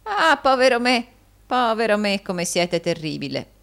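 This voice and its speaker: A woman voicing a sly old male moneylender in an audiobook, gushing flattery in repeated exclamations. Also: mid-range voice, measured pace, vocal fry, clean tone, pitched above the performer's own voice, full character voice. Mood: fawning. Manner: gushing, repetitive, exclaiming with groans and chuckles.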